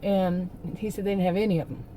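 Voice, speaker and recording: A middle-aged woman, hoarse from losing her voice, rambling and venting in a casual vlog from a parked car. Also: mid-range voice, fairly even intonation, fast talker, dark tone, a little hiss or hum, rough recording. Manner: rambling, venting, with many restarts. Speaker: vlogger.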